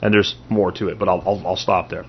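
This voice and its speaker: A man's voice: low-pitched, level tone, fairly quick, gravelly, husky, dull audio. A man hosting a podcast, delivering an opinionated political monologue in an emphatic way, with rhetorical questions and repeated phrases. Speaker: podcast host.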